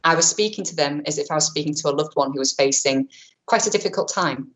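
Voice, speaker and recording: A woman presenting an online training webinar, talking quickly in a chatty way with many fillers and self-corrections, into a webcam mic. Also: low voice, rough recording. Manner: says she has a powerful voice. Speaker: webinar presenter on vulnerable clients.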